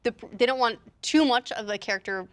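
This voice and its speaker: A woman's voice: fairly high pitch, a little fast. A woman talking casually as a panelist, opinionated, with sarcastic exaggeration. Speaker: panelist on a video game movie show.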